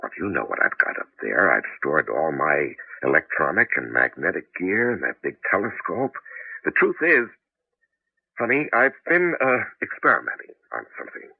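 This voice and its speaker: An apologetic, secretive husband in a 1980s radio drama. His voice is low and husky, with a hesitant, evasive stammer. It is heard on a dull, narrow vintage broadcast. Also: very animated, normal speed, no echo, heavily acted. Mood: evasive, defensive. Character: apologetic husband, secretive tinkering scientist turned cabbie.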